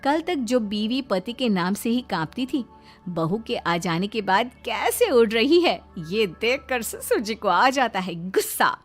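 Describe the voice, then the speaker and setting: A woman narrating a family story in an expressive, dramatized storytelling style. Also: fairly high pitch, unhurried, soft background music.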